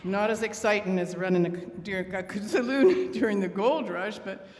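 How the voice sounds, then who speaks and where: A woman's voice: mid-range voice, moderate pace, darkish tone, natural voice. A woman playing a plain-spoken older widow with folksy, rustic turns of phrase in an old-time radio drama. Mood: wry, warm. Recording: reverberant hall, clear background noise.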